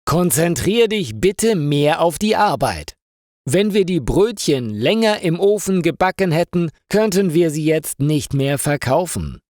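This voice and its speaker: A man's voice: on the high side, expressive, measured pace, darkish tone, no echo, studio quality, pitched above the performer's own voice. A man voicing a stern, scolding bakery boss in an audiobook story, speaking emphatically.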